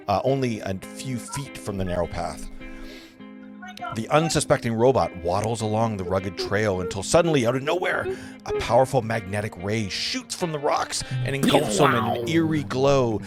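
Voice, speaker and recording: A man with a slightly low voice narrates scene directions at an amateur table read. His intonation moves around in a lively way. Music plays clearly behind him. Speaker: narrator reading stage directions.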